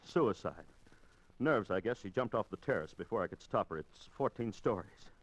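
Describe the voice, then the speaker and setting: An actor playing a slick, scheming ex-convict husband with false tenderness, in old-time radio suspense style. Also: male voice, lowish voice, expressive, moderate pace, slightly rough. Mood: feigned grief. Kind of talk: 1950s radio suspense acting. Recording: muffled old radio recording.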